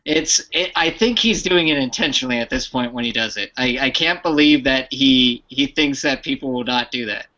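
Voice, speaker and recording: Bright-voiced man chatting on a stream. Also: medium pitch, normal speed, hardly any pauses. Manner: chatty, rambling with restarts. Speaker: live stream host. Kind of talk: casual chat. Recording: lo-fi online stream audio.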